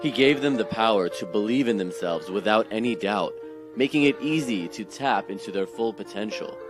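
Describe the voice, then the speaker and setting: A man reading scripted documentary narration in complete, measured sentences. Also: fairly low pitch. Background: music.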